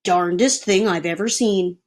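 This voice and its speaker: A woman voicing a proper storybook father who is a stickler for polite speech, measured and correcting. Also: medium pitch, natural voice. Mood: mildly astonished.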